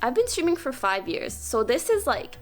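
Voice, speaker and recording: Woman, streamer, casual setup tour, bubbly, joking, higher voice, faint music underneath. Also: big pitch swings, moderate pace. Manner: bubbly and joking, drops into whispers. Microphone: studio mic on a desk stand.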